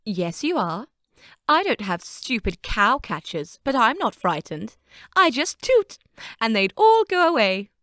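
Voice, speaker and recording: A woman's voice: fairly high pitch, very animated, measured pace, a bit bright, dry recording, clean studio sound. A woman voicing a vain, haughty, easily flustered female railcar engine in a theatrical children's story. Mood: smug, boastful. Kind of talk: character voice for a children's story fandub.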